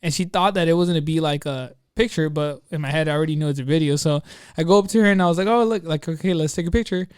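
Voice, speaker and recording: A man co-hosting a podcast, telling a personal story in an excitable, rambling, slangy way full of quoted asides. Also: fairly high pitch, fast talker, clear, resonant tone, dry recording, studio quality. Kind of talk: casual chat, anecdote storytelling.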